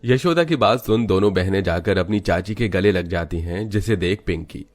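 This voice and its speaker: A man's voice: deep voice, normal speed. A man narrating a scripted moral story as a storyteller.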